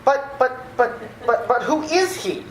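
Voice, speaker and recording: Woman acting a flustered nephew, echoey and animated. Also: sits high, measured pace. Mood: flustered, shocked. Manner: wry, sputtering, incredulous. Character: exasperated, flustered nephew, an adult man. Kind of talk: old-time radio play acting. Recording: echoey room, slight background noise.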